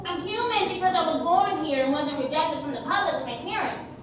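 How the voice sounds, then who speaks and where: A woman's voice: high voice, animated, a little fast, a little hiss or hum. An actress playing an anguished young human woman performer raised among cyborgs in a stage play. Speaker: stage actor. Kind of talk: theatrical stage acting. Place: theater stage, distant microphone. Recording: distant echoey theater recording with loud music.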